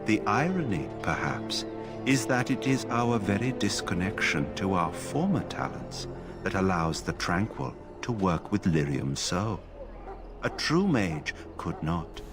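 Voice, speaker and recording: Deep-voiced, emotionless tranquil mage. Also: male voice, exaggerated voice. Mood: detached calm. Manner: flat, formal, detached, measured. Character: emotionless branded male mage, calm enchanter stripped of feeling. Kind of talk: video game dialogue. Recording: game music loud behind the voice.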